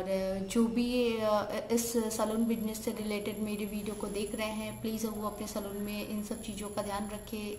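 A young woman, a salon owner, talks casually to a close phone camera, pausing often with "uh" fillers and mixing in English words. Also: mid-range voice, a little mellow. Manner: hesitant, many "uh" fillers, mixes English words. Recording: echoey room, faint background hiss.

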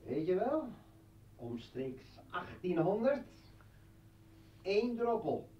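A volatile, sardonic militant poet in a radio drama. He taunts slowly and theatrically, with big swings in pitch. The recording is dull, set back in an echoey room. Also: sing-song, distant mic, a little hiss or hum, heavily acted. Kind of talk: Dutch radio play acting.

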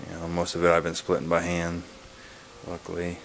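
A man giving a casual, laid-back vlog update in a halting, plain-spoken way, recorded on a handheld camera in a garage. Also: sits low, completely flat delivery, fairly bright tone, a little hiss or hum, low-quality recording. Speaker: wood-splitting video blogger. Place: garage, open to outdoors. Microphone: handheld camera's built-in mic.

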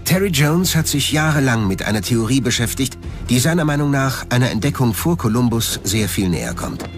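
A man's voice: mid-range voice, sing-song, brisk, soft background music. A man narrating a documentary from a script.

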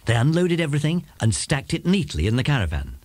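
A man's voice: fairly high pitch, sing-song, fast, darkish tone, no echo. A man with an English accent narrating a scripted children's audiobook.

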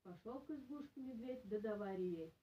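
A woman in her thirties reading a children's folk tale aloud in an expressive storytelling style. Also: medium pitch, a little fast, slight background noise. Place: small indoor room with bare walls. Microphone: camera a few metres away. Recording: roomy, distant, muffled camera microphone.

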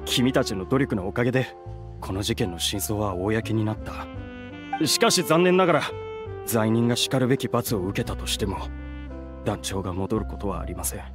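A man's voice: lowish voice, expressive, normal speed, music in the background, lightly acted. A man voicing a polite, earnest, downcast young theatre performer in a game, with a deferential manner. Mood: grave. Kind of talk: Japanese game voice acting.

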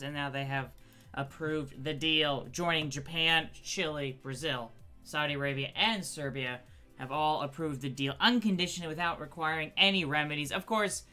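Thirties male streamer with a raspy, nasal voice from allergies; bright tone, chatty news read at home, faint music under. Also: on the high side. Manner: chatty, many ums, reads quotes from screen. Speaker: gaming news host. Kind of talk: casual news commentary.

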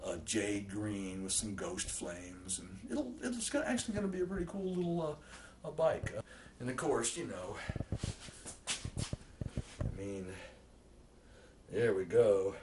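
A man's voice: fairly low pitch, sing-song, a little slow, pauses often, background noise. A man in his sixties rambling to a camera held at arm's length in a small garage workshop, blunt and profane, with many restarts. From born and raised in Germany. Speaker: vlogger. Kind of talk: casual rambling monologue to camera. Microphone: camera held close at arm's length.

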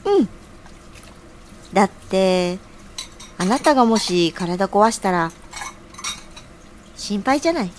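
A woman's voice: mid-range voice, expressive, slow, pauses often, a little hiss or hum. An actress playing a caring young woman in an audio drama, with a cheerful, affectionate, feminine way of talking. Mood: caring, eager. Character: caring, eager young woman offering to cook for her man.

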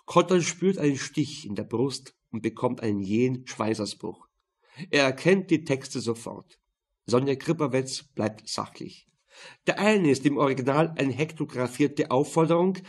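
A man with an Austrian accent narrating a crime novel in a matter-of-fact, slightly husky voice. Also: mid-range voice, animated, moderate pace. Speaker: audiobook narrator.

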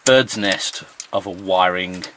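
A man's voice: sits low, slow, fairly bright tone, a little hiss or hum. A man in his fifties explaining things casually to camera as a sailing vlog host. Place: outdoors at a marina and aboard a sailboat.

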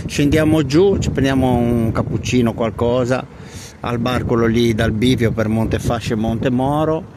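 A man's voice: mid-range voice, moderate pace. A man chatting casually as an amateur radio operator, recorded outdoors on a handheld camera. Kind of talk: casual radio contact chat. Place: outdoors at a windy hillside lay-by. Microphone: phone or camera held in hand. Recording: noisy outdoor recording with wind.

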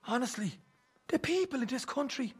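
An actor playing a cheeky, chatty young Irish gang rogue in a video game, talking in a jovial, rambling, teasing way. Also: male voice, extremely high pitch, animated, moderate pace, slightly rough, exaggerated voice. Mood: gleeful, confiding. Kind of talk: video game cutscene acting.

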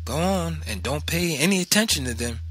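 A man's voice: on the high side, lively intonation, brisk, a bit bright, breathy edge, pitched above the performer's own voice. A man voicing a stern, unyielding mother in an audiobook, giving firm commands. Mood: brisk, dismissive. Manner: commanding, deadly firm, Southern colloquial phrasing. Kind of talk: dramatized audiobook narration.

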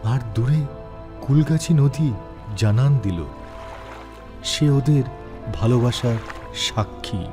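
A man narrating a dramatic scripted horror story as host, measured and suspenseful. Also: mid-range voice. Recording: music behind the voice.

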